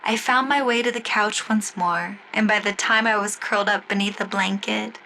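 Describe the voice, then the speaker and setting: A woman narrating a scripted first-person story in a gentle, storybook manner, styled after old-time 1940s radio drama. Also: mid-range voice, fairly even intonation, moderate pace, a little hiss or hum, low-quality recording. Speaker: storyteller and singer.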